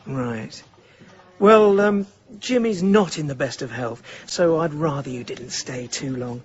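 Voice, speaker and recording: An actor playing an earnest, mildly sardonic middle-aged English shelter worker, hesitant and dry, in a radio comedy-drama. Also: male voice, on the high side, unhurried, muffled recording, close to an everyday voice. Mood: wary, dry.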